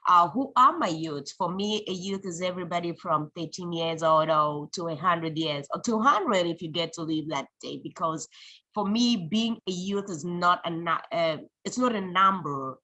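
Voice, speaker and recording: Bright-voiced Zambian-born woman recalling her journey. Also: lowish voice, normal speed. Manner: earnest, reflective storytelling with restarts. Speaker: interview guest. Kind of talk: conversational interview.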